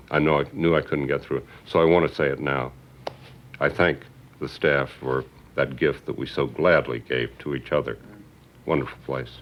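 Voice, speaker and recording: An older man speaking haltingly and deliberately in a reflective interview. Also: a bass voice. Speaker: former magazine executive interviewed. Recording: slightly dull recording.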